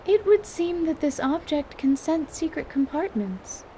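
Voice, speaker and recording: A woman playing a composed, scholarly magic teacher, patient and reserved, with formal, measured wording in a fantasy audio drama. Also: sits high, expressive, dark tone, slight background noise, pitched above the performer's own voice, poor audio. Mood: composed, gentle.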